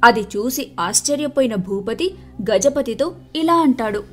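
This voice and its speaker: A woman narrating a moral story in a steady, clear storytelling manner. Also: fairly high pitch, measured pace, soft background music.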